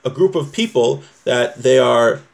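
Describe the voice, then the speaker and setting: A man's voice: medium pitch, lively intonation, measured pace, creaky. A man teaching an informal class on religious law, reading from a text and explaining it point by point, repeating himself and checking that listeners follow.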